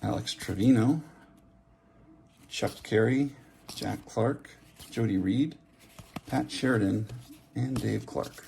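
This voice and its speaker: A man's voice: mid-range voice, slow pace, creaky, pauses often, darkish tone. A man giving offhand, casual running commentary as host, reading out names and musing aloud over faint handling noise. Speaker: host of a trading card opening channel. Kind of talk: casual running commentary while opening card packs. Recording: background hiss, handling clicks and card shuffling.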